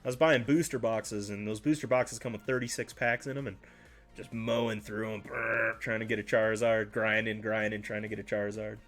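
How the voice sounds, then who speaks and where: A man's voice: fairly low pitch, fairly even intonation, fairly quick, a bit bright. Casting note: male livestream host, a bit congested and nasal, rambling, faint music underneath. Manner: chatty, rambling, with self-corrections. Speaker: card-collecting livestream host. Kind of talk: casual livestream chat with viewers.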